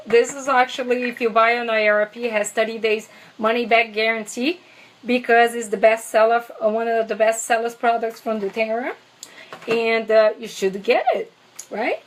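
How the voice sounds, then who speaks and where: A woman's voice: fairly high pitch, slight background noise, rough recording. A woman with a heavy Brazilian accent, chatting casually and eagerly about products in a vlog, with many fillers. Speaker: essential oils seller.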